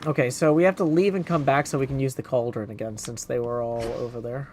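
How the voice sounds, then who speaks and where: A man's voice: mid-range voice, steady tone, fast talker, darkish tone, a little hiss or hum. A man doing casual gaming commentary as a live streamer, thinking aloud playfully and reading chat.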